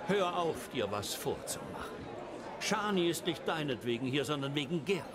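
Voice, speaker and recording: A high, swooping male voice belongs to a smug, sly stranger in a game drama. He speaks with silky, taunting theatricality. A loud crowd chatters behind him. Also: big pitch swings, normal speed.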